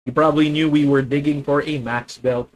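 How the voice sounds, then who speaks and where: A young man with a Filipino accent streaming a card game, thinking out loud about his moves, correcting himself and talking to his viewers. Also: on the high side, fairly even intonation, brisk. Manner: thinking aloud, self-correcting, addresses viewers. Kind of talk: live gameplay commentary.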